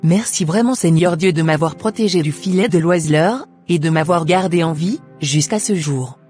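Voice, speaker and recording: A woman's voice: lowish voice, normal speed, darkish tone, breathy edge, soft background music. Devout cartoon daughter, voiced by a woman. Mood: astonished, thankful.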